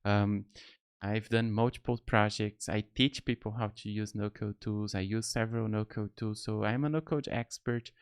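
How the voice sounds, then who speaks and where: A man's voice: fairly low pitch, fairly even intonation, moderate pace, smooth. A man in his thirties from Brazil with a Brazilian Portuguese accent, talking casually to camera as a tutorial host, friendly and rambling with lots of fillers, recorded in a small home studio on a close mic. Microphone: close studio mic on boom arm.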